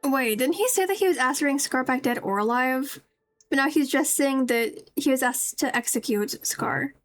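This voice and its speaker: A woman's voice: high-pitched, normal speed, soft background music. A woman casually commenting on a game as she streams, musing and rambling as she goes. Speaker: streamer playing a story game.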